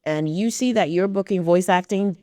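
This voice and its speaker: Woman podcast host, raspy, encouraging, close dry mic. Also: lowish voice, normal speed, warm tone, dry recording. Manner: encouraging, affirming. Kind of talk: casual interview chat.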